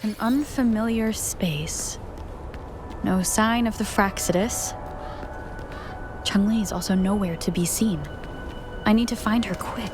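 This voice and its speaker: Woman doing a thoughtful game heroine thinking out loud, mid voice, measured, music going behind her. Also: mid-range voice, close to an everyday voice. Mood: pensive, puzzled. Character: serious, observant female wanderer thinking aloud. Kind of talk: video game voice acting, inner monologue.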